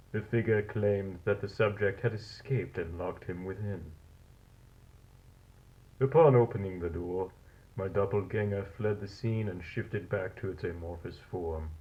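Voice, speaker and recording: Man acting a low-voiced, clinical scientist on tape. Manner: clinical, measured, formal. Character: cold, fascinated male scientist logging a monstrous experiment. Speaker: narrator of a found-footage audio log. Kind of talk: scripted horror narration, dated lab log. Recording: dull lo-fi tape recording.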